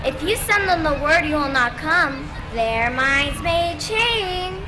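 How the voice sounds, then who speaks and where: Woman as a sly roman plotter in shakespeare, high voice, loud music behind. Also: lively intonation, slow. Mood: persuasive, goading. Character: scheming Roman urging a leader to the Senate. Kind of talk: Shakespearean stage acting.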